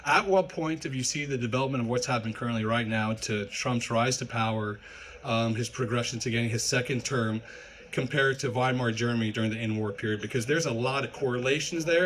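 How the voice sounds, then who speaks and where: Fast-talking academic man. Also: mid-range voice, a bit bright, low-quality recording. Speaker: college history instructor questioning a speaker. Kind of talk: audience question in a live debate.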